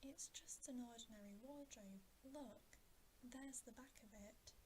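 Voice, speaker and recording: A woman's voice: a little high, a little slow, noisy background, lightly acted. A woman voicing a sensible, dismissive older sister from a storybook, scolding in a half-whisper. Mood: chiding.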